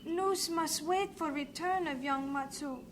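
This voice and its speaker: A woman's voice: high-pitched, measured pace, a little hiss or hum. A woman playing a deferential Chinese servant in an old-time radio drama, speaking formal broken English. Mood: anxious, deferential.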